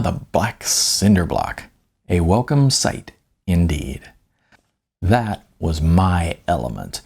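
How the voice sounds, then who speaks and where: A man with a gravelly, husky voice reads his own story as an author, phrasing it deliberately with dramatic emphasis. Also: fairly low pitch, darkish tone. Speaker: author reading own novella. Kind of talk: audiobook narration, first-person storytelling.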